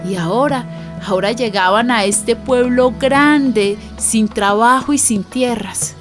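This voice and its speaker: An actress playing a neighbour and friend who narrates a radio drama in an intimate, conversational way. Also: female voice, fairly high pitch. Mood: storytelling. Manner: conversational, deliberate. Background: music.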